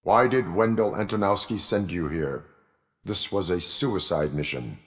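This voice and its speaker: An actor playing a stern, stoic cyborg police officer in a video game, speaking in a deadpan, clipped and formal way. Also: male voice, sits low, measured pace. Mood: cold, commanding. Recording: reverberant hall-like echo.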